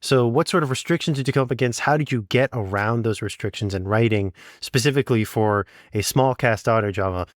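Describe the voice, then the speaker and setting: A man's voice: medium pitch, fairly quick, hardly any pauses, no room sound, clean studio sound. A man acting as interviewer, asking questions and commenting in a casual, conversational way.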